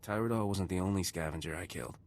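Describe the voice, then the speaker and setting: An actor voicing a wry, cocky gunslinger smuggler captain in a video game, dry and sardonic. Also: male voice, deep voice, fast, a little mellow. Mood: cool, sardonic.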